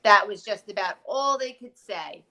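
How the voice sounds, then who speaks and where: A woman's voice: mid-range voice, animated, normal speed, sharp tone. A woman on a school's staff reading a children's storybook aloud and casually discussing it.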